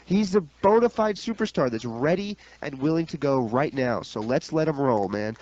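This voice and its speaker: A man with a medium-pitched voice co-hosts a talk radio show. He states his opinions bluntly and with emphasis, in casual language. The recording sounds a little dull and lo-fi. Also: expressive, moderate pace, a little mellow. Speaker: radio co-host.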